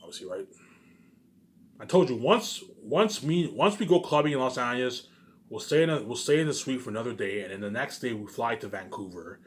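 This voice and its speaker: A man's voice: mid-range voice, expressive, a little fast, a little hiss or hum. A man giving a rambling, unscripted vlog monologue, emphatic and repetitive, circling back over his phrases and swearing at times. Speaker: vlogger.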